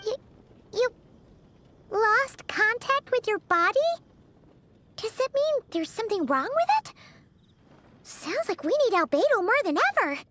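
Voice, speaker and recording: A woman voicing a small, girlish, excitable fairy companion in a video game, with a childlike squeaky voice. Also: a little slow, pauses often, full character voice. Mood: worried, puzzled. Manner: animated, expressive. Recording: background music under voice.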